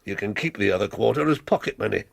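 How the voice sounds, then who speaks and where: An actor playing a shrewd, domineering older businessman in a period radio drama, with a gravelly, husky voice and a brusque, wheedling manner. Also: lowish voice, animated, fast, no echo, studio quality, full character voice. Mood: brisk, self-satisfied.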